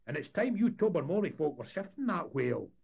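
A brash young deckhand, loud and jaunty, as a male storyteller puts him on. The voice sits high and bright over a gravelly, husky grain. It suits a storybook reading. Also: big pitch swings, a little fast, dull audio, exaggerated voice.

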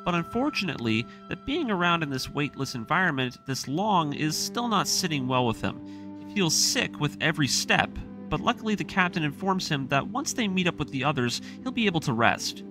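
Animated man narrating over music. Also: a little high, a little fast. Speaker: host of a video series. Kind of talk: semi-scripted recap narration for a fan breakdown video. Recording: music playing behind the voice.

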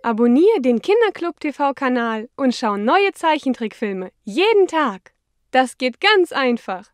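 A woman's voice: high-pitched, very animated, unhurried. A woman reading scripted narration for a young children's educational cartoon, instructive, posing questions and answering them. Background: background music.

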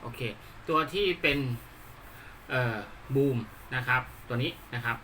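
A man giving an unscripted hands-on tutorial, explaining politely in a halting, repetitive way. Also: medium pitch, sharp tone, a little hiss or hum. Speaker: hobbyist presenter demonstrating a DIY build.

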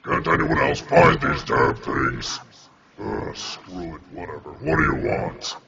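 Man voicing a gravelly, fed-up magic dragon. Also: lowish voice, wildly swooping pitch, measured pace, recorded from a distance, a loud crowd, heavily acted. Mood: exasperated, weary. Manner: sarcastic, world-weary. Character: jaded, exasperated giant magical wish-granting dragon. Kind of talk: comedic anime parody dub. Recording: muffled lo-fi dub with loud background noise.

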